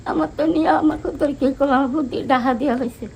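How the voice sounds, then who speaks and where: A woman's voice: sits high, steady tone, very fast, a little mellow, slight background noise. An older woman with a rural Bangladeshi dialect, telling her own story in a plaintive, colloquial way. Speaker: interviewed mother. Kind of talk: unscripted personal storytelling.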